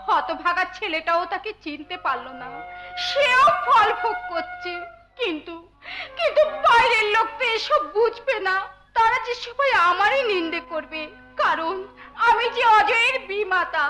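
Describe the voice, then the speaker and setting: A woman's voice: very high-pitched, expressive, unhurried. A woman playing an anguished, righteous middle-aged stepmother in melodramatic folk theatre, plaintive and declamatory. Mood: tearful. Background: music.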